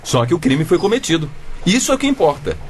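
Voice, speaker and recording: An actor playing a stubborn, upright middle-aged father in a radio drama, sarcastic and lively. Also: fairly high pitch, fast talker, a little hiss or hum. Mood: indignant.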